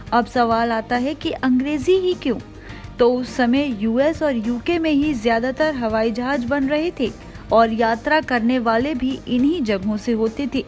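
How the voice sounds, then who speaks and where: A woman with a high-pitched voice presents a scripted explainer as a video host. She speaks to the viewers in a presenter's manner. Soft music plays behind her. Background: background music.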